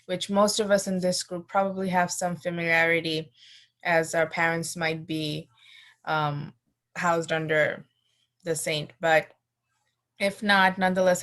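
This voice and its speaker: A woman's voice: lowish voice, fairly bright tone. A young woman hosting a class, politely introducing a guest speaker, with the odd hesitant filler. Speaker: class host.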